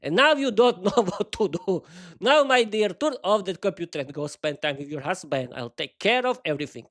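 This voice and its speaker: A man voicing a calm, motherly, all-knowing female AI demon who reassures her friend. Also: high voice, normal speed. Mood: reassuring. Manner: soothing, reassuring.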